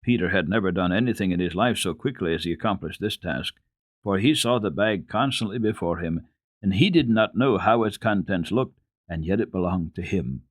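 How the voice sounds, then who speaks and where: A man with a fairly low voice narrates a children's classic in the manner of a radio storyteller. His delivery is measured and expressive, and the sound is close and dry, with very little room. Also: no echo. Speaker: storyteller, radio program host. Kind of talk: dramatic reading of a children's book.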